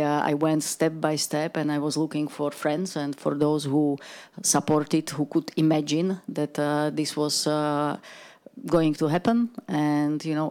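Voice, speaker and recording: A woman with a Slovak accent speaks as a corporate panellist. Her voice stays very level, with little rise or fall. She phrases her career story in a measured, deliberate way. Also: low voice, mellow.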